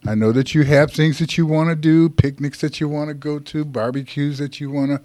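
A man's voice: a little high, fairly even intonation, brisk, darkish tone, no echo. A man hosting a Sunday talk show from a studio, rambling and folksy, teasing his guests. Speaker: radio show host. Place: radio studio. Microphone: studio microphone.

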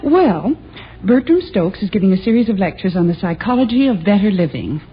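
A woman's voice: mid-range voice, expressive, fairly quick, slightly rough. An actress playing an earnest, sweetly stubborn suburban wife and mother in a 1950s radio sitcom. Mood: insistent. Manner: earnest, insistent. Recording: dull, noisy vintage radio broadcast.

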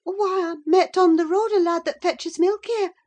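Shrill, breathless young maid. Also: female voice, moderate pace. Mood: breathless gossiping. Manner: breathless, excitable, gushing. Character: panicked, breathless young servant maid, chattering rustic gossip. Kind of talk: audiobook character acting.

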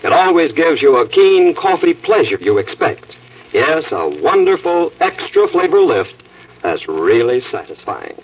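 A man's voice: a little high, very animated, measured pace, distant mic. An American man announcing and reading sponsor copy in a scripted 1940s radio style. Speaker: radio announcer and coffee pitchman. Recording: muffled, noisy old radio broadcast.

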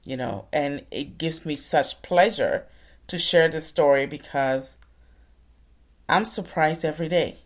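A woman from Ghana speaks to an audience about her own life. Her voice sits quite low and sounds deep. She speaks with earnest, preacher-like conviction and addresses the listeners directly. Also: a little slow. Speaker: motivational speaker. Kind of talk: inspirational storytelling talk.

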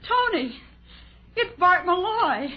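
An actress playing an anxious, worldly film star in 1960s radio drama style, earnest and worried. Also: female voice, very high-pitched, big pitch swings, slow pace, heavily acted. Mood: terrified. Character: anxious, worldly silent-film leading lady. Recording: muffled, hissy old radio broadcast.

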